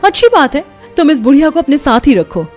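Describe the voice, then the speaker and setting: A woman voicing a proud, scornful young wife in a cartoon story, talking sharply in a high voice. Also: sing-song, a little fast, faint music behind. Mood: haughty. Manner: sharp, haughty, raised voice.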